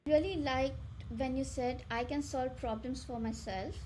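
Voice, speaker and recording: A woman's voice: high voice, unhurried, warm tone. Candid female podcast host, faint noise. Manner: candid, reflective, casual swearing. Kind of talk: casual interview chat.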